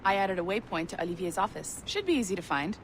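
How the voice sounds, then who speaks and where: A chirpy, friendly company guide in a video game. Her mid-pitched voice moves around a lot as she chats helpfully, over faint ambience. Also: big pitch swings, fast talker, a little hiss or hum, low-quality recording. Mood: friendly, upbeat. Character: perky friendly office manager woman welcoming newcomer.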